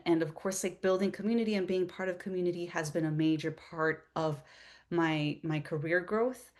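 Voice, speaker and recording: A woman introducing herself on a panel in a friendly, grateful way over a video call. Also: fairly low pitch. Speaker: panelist, UX designer.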